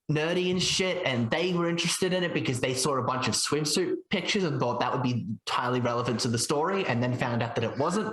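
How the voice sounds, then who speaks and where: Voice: mid-range voice, fairly bright tone. Podcast co-host, rambling joking storyteller, echoey room sound. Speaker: podcast co-host, senior editor. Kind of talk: casual podcast chat.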